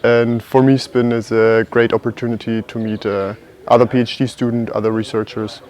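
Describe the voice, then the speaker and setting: A man's voice: medium pitch, level tone, normal speed, a little mellow. A man, a PhD student giving a hesitant self-introduction. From Germany. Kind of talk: short self-introduction to camera.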